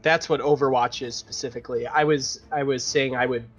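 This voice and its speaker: A man's voice: medium pitch, fairly even intonation, brisk. A man playing a tabletop game, casually discussing the rules, with background music.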